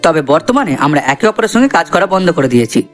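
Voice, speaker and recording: A man's voice: on the high side, sing-song, racing. A man voicing an earnest, chatty cartoon character, explaining things to viewers. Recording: voice over background music.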